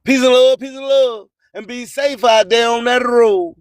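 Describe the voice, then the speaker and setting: High-pitched man, slow rhyming radio host. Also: dry recording, clean studio sound. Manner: emphatic, boastful, preacher-like proclaiming with drawn-out phrases. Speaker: radio host and music promoter. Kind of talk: rhyming spoken-word radio monologue.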